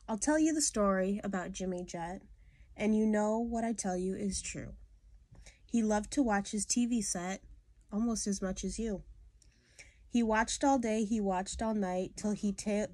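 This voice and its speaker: A woman's voice: medium pitch, warm tone, no room sound. A young adult woman reading to children in an informal storyteller style. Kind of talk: informal read-aloud of children's poems. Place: small room indoors.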